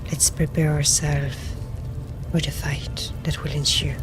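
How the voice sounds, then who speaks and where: A woman playing a video game in character, talking deliberately and softly to her companions as she commentates. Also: low-pitched, level tone, in a whisper. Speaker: gamer roleplaying her own character. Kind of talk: immersive roleplay game commentary. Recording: game music behind her.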